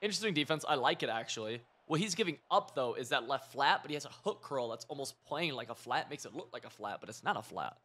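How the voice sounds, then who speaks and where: A hoarse young man giving rambling, excitable live commentary over a football video game, mixing coaching tips with side stories. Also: medium pitch, quick pace, hardly any pauses. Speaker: gaming video creator.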